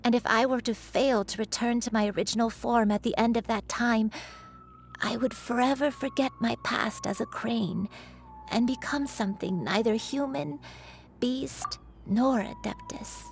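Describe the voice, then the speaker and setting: A woman voicing a dignified, remorseful elderly crane spirit in human form in a video game, telling her story in a measured, solemn way. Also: on the high side, a little hiss or hum. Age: older.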